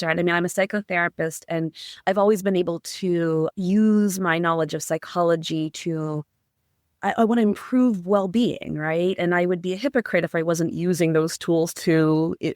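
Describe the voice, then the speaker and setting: Earnest, gravelly-voiced therapist guest. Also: mid-range voice, normal speed, dry recording, studio quality. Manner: earnest, repeats words when restarting, uses "like" and "right?" often. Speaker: psychotherapist and professor, podcast guest. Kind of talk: candid interview answers.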